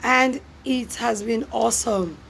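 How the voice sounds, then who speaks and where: A woman's voice: a little high, measured pace, creaky, a bit bright, slight background noise. A Nigerian woman from a theatre production team, talking in an interview. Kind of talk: unhurried interview talk.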